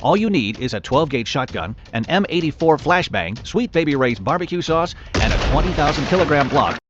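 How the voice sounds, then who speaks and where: A man's voice: a little high, animated, fairly quick. A man reading scripted mock survival instructions as a broadcast announcer. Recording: dull lo-fi audio, faint noise.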